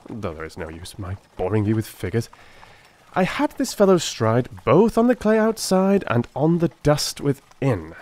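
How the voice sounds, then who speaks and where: A man voicing a brisk, self-assured detective who lectures precisely and emphatically, in a dramatised Victorian audiobook. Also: medium pitch, darkish tone.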